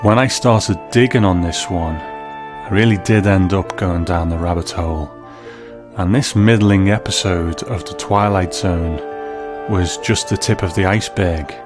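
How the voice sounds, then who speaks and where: A man's voice: deep voice, a little slow, music in the background. A man hosting and narrating a scripted documentary in storytelling form. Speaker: host narrator.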